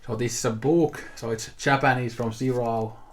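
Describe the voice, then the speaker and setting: Flat-toned young man chatting. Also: mid-range voice, normal speed. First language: Finnish. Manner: easygoing, rambling, with frequent fillers and restarts. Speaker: video host showing a haul. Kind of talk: casual unscripted talk to camera.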